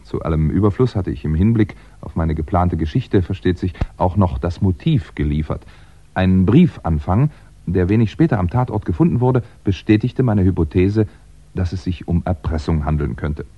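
A very deep male voice plays a composed, wry crime writer in a 1960s radio play. He recounts things in a measured, conversational way, with small hesitant asides. The recording is dull and limited in range. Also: lively intonation, slightly rough. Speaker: actor playing narrator and lead.

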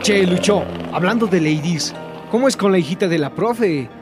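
A man's voice: sits high, animated, moderate pace, music in the background. A man with a Bolivian accent playing a cocky, domineering teenage bully in a radio drama, taunting and slangy. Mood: taunting, boisterous. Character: cocky, bullying, possessive teenage gang leader.

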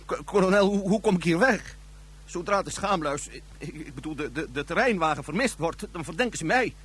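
A man's voice: fairly high pitch, expressive, brisk, a bit bright, breathy edge. A man acting a nervous, panicky secret agent in a radio drama, stammering and flustered. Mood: panicky, pleading. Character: nervous, panicky male secret agent cracking under pressure.

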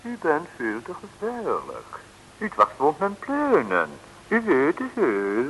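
An actor playing a menacing, gloating professor villain with formal, theatrical speech in a vintage comic radio serial. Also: male voice, on the high side, huge pitch swings, takes it slowly, a bit bright, full character voice, rough recording. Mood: threatening. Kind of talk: 1960s Dutch comic radio serial acting. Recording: hissy old radio recording.

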